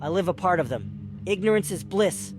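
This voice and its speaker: Chipper young male sidekick for a visual novel, played by a man: high-pitched, very lively, eager to please, loud music behind. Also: brisk. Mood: breezy. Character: young male delivery worker, loyal, chipper, deferential friend.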